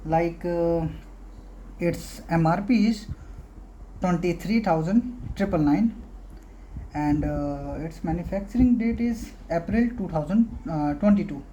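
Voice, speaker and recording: A woman hosting a casual product unboxing, talking haltingly in short phrases with pauses. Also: lowish voice, mellow, a little hiss or hum, rough recording. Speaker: unboxing video host.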